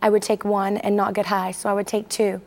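A woman's voice: mid-range voice, flat delivery, a little fast, vocal fry, a little mellow. A woman in her forties from Texas, telling her personal story candidly and hesitantly as an interview guest. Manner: candid, hesitant, with many fillers.

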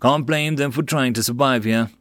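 A man voicing a level-headed, wary male marine commander who speaks in a measured way. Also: mid-range voice, a bit bright, no echo, close to an everyday voice. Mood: matter-of-fact.

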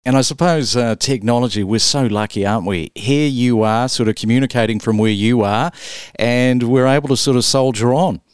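A man hosts an interview show, moving through his notes and questions at a measured, practiced pace. His voice is smooth and resonant, recorded dry and close with no room sound. He has a mid-range pitch. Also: no room sound. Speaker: podcast host. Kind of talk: radio-style interview hosting.

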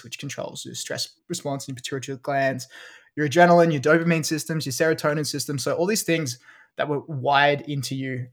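An Australian man co-hosting a relaxed science podcast. He walks through research findings in an explanatory way, dotting his talk with ums and uhs at a middle pitch. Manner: explanatory, with frequent fillers. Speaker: podcast co-host. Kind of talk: casual science chat.

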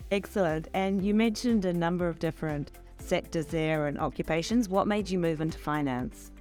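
Low-pitched woman hosting an interview. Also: normal speed, a little mellow, soft background music. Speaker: interview host. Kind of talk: introducing and asking interview questions.

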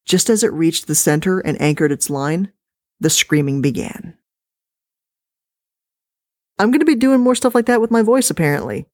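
A woman hosting a podcast and reading a horror story aloud with an expressive delivery. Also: fairly low pitch, moderate pace, pauses often, dry recording, clean studio sound. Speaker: podcast host and story reader.

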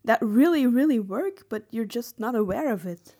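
A woman co-hosting a podcast, discussing film casually and analytically, often pausing mid-phrase to find her words. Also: fairly high pitch, lively intonation, mellow. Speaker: podcast co-host.

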